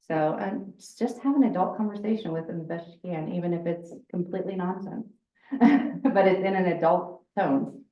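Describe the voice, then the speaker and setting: A woman with a fairly low voice leads a relaxed workshop, talking loudly and easily with frequent laughter. She sounds a little distant from the microphone, in an echoey room, and the sound is slightly dull. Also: moderate pace. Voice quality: lower voice. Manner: loud, chatty, laughs often. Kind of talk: informal workshop talk.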